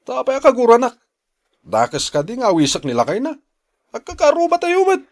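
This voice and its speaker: Actor as an easygoing husband, animated, dry sound. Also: male voice, very high voice, moderate pace, dry recording, full character voice. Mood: earnest. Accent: Ilocano. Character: sociable, easygoing husband who loves neighborly company. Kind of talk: Ilocano audio drama acting.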